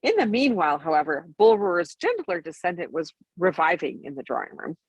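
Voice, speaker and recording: Bedtime storyteller, female: lowish husky voice, animated intonation, expressive, recorded dry. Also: fairly quick, dry recording. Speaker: storybook reader.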